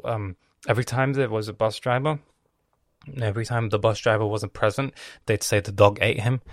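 A man raised in Lebanon hosts his own podcast, speaking low with a gravelly, fry-laden voice. He rambles freely, swears casually and often loses his thread. The recording sounds dry and close. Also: no room sound. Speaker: podcast host.

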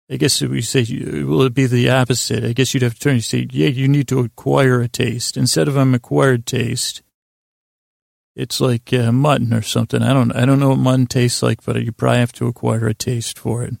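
A man hosting a bedtime podcast, rambling in a halting, meandering way with a gravelly, creaky voice. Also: mid-range voice, a little mellow, no echo, studio quality. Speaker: podcast host. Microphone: close podcast microphone.

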